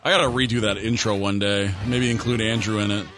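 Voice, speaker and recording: A man's voice: lowish voice, fairly even intonation, brisk. A man in his thirties hosting a radio talk show, bantering and teasing his co-hosts in casual chat. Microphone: studio microphone.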